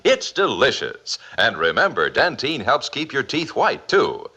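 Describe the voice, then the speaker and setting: Slow, very animated male ad announcer. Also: mid-range voice, slightly rough. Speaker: commercial announcer. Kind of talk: 1950s radio advertisement read.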